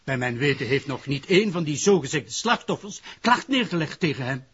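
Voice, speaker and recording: Casting: stern Flemish prosecutor, very raspy voice, lively intonation, impatient authority, slightly muffled recording. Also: male voice, on the high side, a little fast, heavily acted. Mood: exasperated. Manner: emphatic, exasperated, authoritative. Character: stern, dismissive male public prosecutor, impatient and formal. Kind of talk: broadcast crime drama acting.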